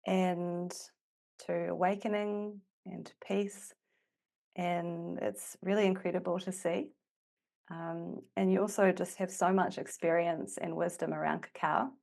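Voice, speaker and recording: A woman podcast host with a gravelly, slightly hoarse voice after nearly losing it, talking casually and effusively as she introduces things. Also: fairly low pitch, a little mellow.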